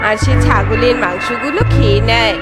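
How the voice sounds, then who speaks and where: A woman's voice: on the high side, moderate pace. A woman narrating a scripted children's story in a dramatic style. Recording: loud music bed behind.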